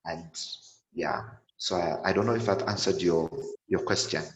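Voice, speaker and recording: A man in his twenties telling his own story on an online panel, halting and full of repeated words and fillers. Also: low-pitched, reverberant, lo-fi sound. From sub-Saharan Africa. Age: 20s. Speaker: panel guest.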